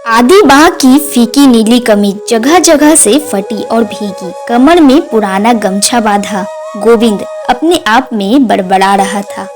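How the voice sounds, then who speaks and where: A woman narrates a scripted moral tale as a cartoon storyteller. Her voice is high, and faint music plays beneath it. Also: takes it slowly, darkish tone.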